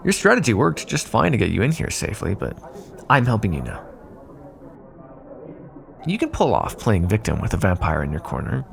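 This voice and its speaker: Sly vampire rival, played by a male actor: lowish gravelly voice, sarcastic and teasing, very animated pitch, intimate ASMR roleplay. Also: normal speed, pauses often, heavily acted. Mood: bossy, scheming. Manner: sarcastic, teasing, drawling asides.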